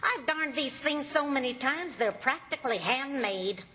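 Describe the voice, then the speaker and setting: A woman's voice: high voice, lively intonation, normal speed, pushed and bright, heavily acted. A woman playing a teasing, affectionate middle-aged wife in an old-time radio comedy. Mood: wry, teasing.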